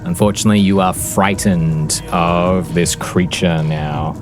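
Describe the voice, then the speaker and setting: Deep-voiced man narrating a fantasy game over music. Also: fairly even intonation, a little slow. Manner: theatrical, descriptive storytelling. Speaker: game master. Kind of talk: dramatic tabletop game narration.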